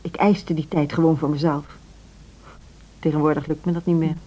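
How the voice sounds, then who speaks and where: A woman's voice: low-pitched, flat delivery, normal speed, mellow. A middle-aged woman acting a dedicated, earnest music school director in a Dutch social radio drama. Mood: wistful, rapturous. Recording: dull, band-limited radio drama recording.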